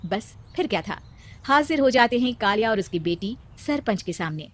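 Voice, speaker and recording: A woman's voice: a little high, lively intonation, moderate pace, no echo. A woman narrating a scripted story as the storyteller.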